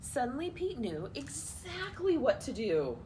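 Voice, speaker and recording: A woman hosting a children's storytime, reading a picture book aloud in an expressive, playful way. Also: high voice, a little slow, slight background noise. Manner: animated, expressive, playful asides. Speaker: children's storytime host at a library.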